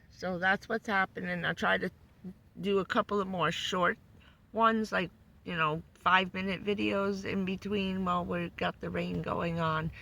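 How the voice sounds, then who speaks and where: A hoarse woman who says she is losing her voice, giving a loose, chatty van-life update full of fillers. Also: lowish voice, pushed and bright, a little hiss or hum, low-quality recording. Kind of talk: casual rambling live update. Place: living in a van.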